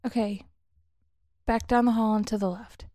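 A woman's voice: fairly high pitch, steady tone, normal speed, dark tone, dry recording, natural voice. Woman acting audio-story heroine, anxious, hesitant, exasperated, urgent pleading, dry sound. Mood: uncertain.

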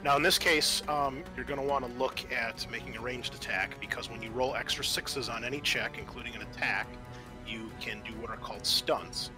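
A man's voice: medium pitch, steady tone, brisk. A man acting as game master, patiently explaining tabletop roleplaying rules in a casual, conversational way. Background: music.

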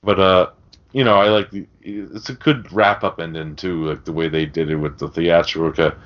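A man's voice: low-pitched, fairly even intonation, normal speed. A man co-hosting a podcast, discussing film casually in a rambling, sweary, conversational way. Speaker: podcast co-host. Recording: dull, lo-fi podcast audio.

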